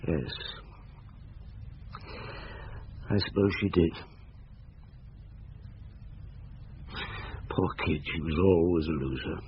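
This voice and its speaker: A man acting as a deep-voiced police inspector in a radio play, patient and shrewd, with real compassion. He speaks deliberately and probes quietly. The recording is dull, with clear background noise. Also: natural voice. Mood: sad, weary. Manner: measured, deliberate, quietly probing. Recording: muffled, noisy old radio broadcast.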